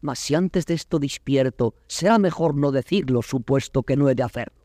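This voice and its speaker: An actor playing a proud, wary captive prince in classical verse drama, declaiming with weight and emphasis. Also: male voice, mid-range voice, normal speed, mellow, dry recording, studio quality, natural voice. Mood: resolute musing.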